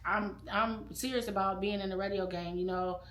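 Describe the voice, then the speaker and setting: A woman's voice: medium pitch, steady tone, normal speed, a bit bright. A young woman hosting a podcast, chatting casually with lots of fillers as she recalls her internship. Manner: easygoing, many fillers like "like" and "um". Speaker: podcast host. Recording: echoey room.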